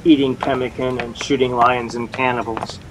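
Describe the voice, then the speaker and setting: An actor with an American accent playing a jealous, bumbling young husband, sulky, boastful and sheepishly stammering, in a 1940s radio comedy. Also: male voice, medium pitch, little pitch movement, moderate pace, close to an everyday voice. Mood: grumbling, sarcastic. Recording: noisy old-time radio recording.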